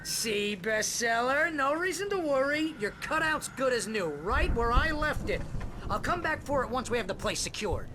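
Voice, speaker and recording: An actor playing an excitable, wisecracking best friend and agent in a video game, with a jokey manner. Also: male voice, very high-pitched, normal speed, background noise. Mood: teasing. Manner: jokey, animated. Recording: loud music over the voice.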